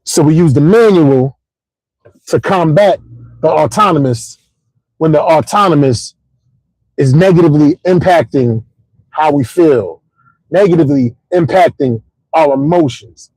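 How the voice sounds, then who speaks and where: A middle-aged man hosting a casual livestream, rambling in an animated, motivational way with lots of repeats and "you know what I'm saying". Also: a little high, unhurried, long pauses, no room sound, rough recording. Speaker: host.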